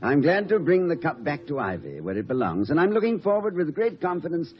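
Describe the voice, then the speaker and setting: Urbane college president, middle-aged. Erudite dry wit, very lively intonation, dulled vintage radio sound. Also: male voice, fairly high pitch, brisk. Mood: dryly amused. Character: urbane, witty, good-humored male college president. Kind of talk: 1950 radio comedy acting. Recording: muffled old radio recording, light noise.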